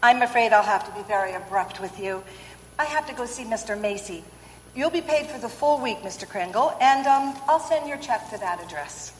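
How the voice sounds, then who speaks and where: A woman playing a practical, skeptical working mother in a radio play, polite, measured and firm. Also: on the high side, animated, natural voice. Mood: awkward, abrupt. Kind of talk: old-time radio play acting. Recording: echoey hall with faint ambient noise.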